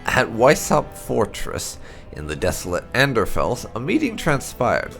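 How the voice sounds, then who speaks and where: Gravelly man narrating fantasy lore, lively intonation. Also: medium pitch, measured pace. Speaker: gameplay channel host. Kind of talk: dramatic read-aloud narration of fantasy lore. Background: music.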